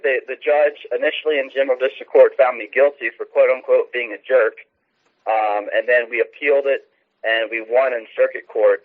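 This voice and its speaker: An American man speaking as a radio interview guest, explaining things in a halting, earnest way with repeated words. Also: lowish voice. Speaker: activist guest, phone-in interviewee. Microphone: telephone. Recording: muffled narrow phone line.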